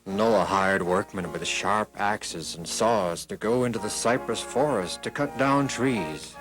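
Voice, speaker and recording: A man narrating children's Bible stories in a theatrical, scripted style with deliberate pauses and stretched words. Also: on the low side, poor audio. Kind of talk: scripted dramatic storytelling. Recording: music behind the voice.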